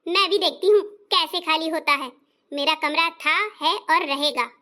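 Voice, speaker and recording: A woman's voice: high-pitched, moderate pace, sharp tone. A woman voicing a sulky, defiant young newlywed wife in a cartoon, indignant and complaining. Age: young adult. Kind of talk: cartoon voice acting.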